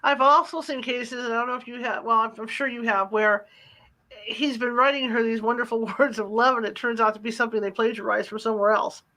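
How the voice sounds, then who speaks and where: Interview host, bright sharp tone, casual questions, hesitant restarts. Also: mid-range voice, steady tone, fairly quick, poor audio.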